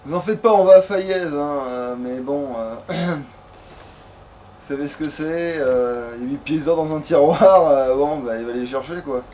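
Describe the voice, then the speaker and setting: A man with a fairly high voice plays a game and comments casually as he goes. His talk is crude and full of swearing. There is slight background noise behind him. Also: a little slow, mellow. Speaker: let's player.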